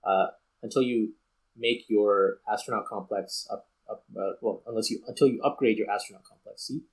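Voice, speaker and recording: Male game streamer, lowish voice, casual and a little hesitant, explaining while he plays. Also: moderate pace, slightly rough, low-quality recording. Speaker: video game streamer and host.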